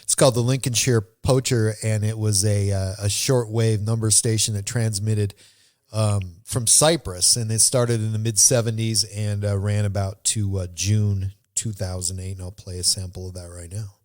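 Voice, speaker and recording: Man, low voice, casual podcast host, halting and rambling, very dry sound. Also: steady tone, a little mellow, dry recording. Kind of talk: casual conversational interview.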